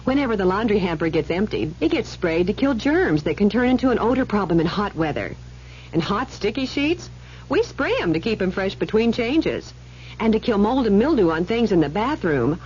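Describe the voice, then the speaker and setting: A woman playing a busy, brisk housewife and mother in a radio commercial. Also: mid-range voice, big pitch swings, a little mellow, natural voice. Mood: brisk, exasperated. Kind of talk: acted 1970s radio commercial. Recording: dull old radio, faint noise.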